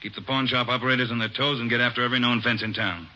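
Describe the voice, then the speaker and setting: Fields: an impatient police chief. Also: male voice, mid-range voice, fairly even intonation, bright, forceful tone, a little hiss or hum. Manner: brisk, demanding. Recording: muffled vintage radio broadcast.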